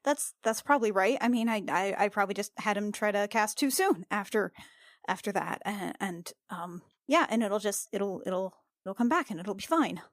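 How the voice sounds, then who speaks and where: A woman improvising casually as a tabletop game player, halting and often repeating her words. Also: mid-range voice, expressive, no echo, clean studio sound.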